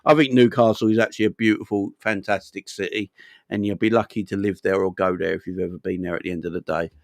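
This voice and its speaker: A man's voice: low voice, quick pace, no room sound. Rambling man, dry close sound. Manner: rambling, animated, sweary. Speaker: football channel host. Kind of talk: casual football chat.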